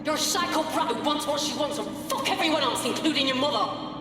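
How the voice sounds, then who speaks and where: A woman's voice: high-pitched, quick pace, background noise, lightly acted. Echo-drenched, scornful woman hacktivist actress. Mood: contemptuous. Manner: blunt, scornful. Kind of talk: video game cutscene acting. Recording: very echoey hall, music behind.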